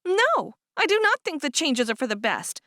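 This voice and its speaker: A woman's voice: high-pitched, very animated, moderate pace, sharp tone, no room sound, studio quality, pitched above the performer's own voice, heavily acted. A woman reading aloud and voicing an upset, stubborn teenage farm girl who talks in a sulky, indignant way.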